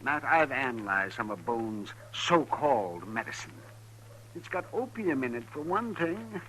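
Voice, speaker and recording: A man's voice: mid-range voice, big pitch swings, measured pace, a bit bright. A man playing an irascible, blunt frontier doctor who scolds emphatically in an old radio western. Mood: indignant. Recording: slightly noisy, humming old radio recording.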